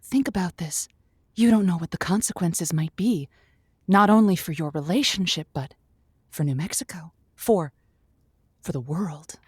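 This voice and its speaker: A woman playing an earnest advice host on a comedic audio call-in show, speaking with gentle, hesitant stammers. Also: on the low side, normal speed, breathy edge, no room sound, studio quality. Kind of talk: comedic audio drama radio host acting.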